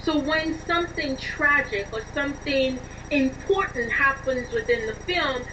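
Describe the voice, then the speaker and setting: A woman being interviewed, explaining things in an expressive, emphatic conversational way over a distant, dull and noisy connection. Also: high-pitched, measured pace. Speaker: interview guest, scriptwriter.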